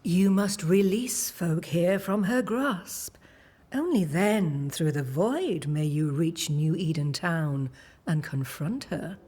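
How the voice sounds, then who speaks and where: Slow, breathy, enigmatic witch. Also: fairly low pitch, lively intonation, mellow, exaggerated voice. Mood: solemn, ominous. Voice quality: breathy, husky. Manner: slow, measured, cryptic. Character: enigmatic, ominous witch leader giving cryptic guidance. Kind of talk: dramatic video game acting.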